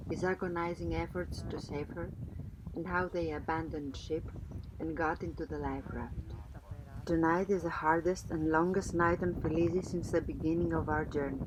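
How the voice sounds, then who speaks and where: A woman giving calm, scripted storytelling narration for a sailing vlog. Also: deep voice, normal speed, noisy background.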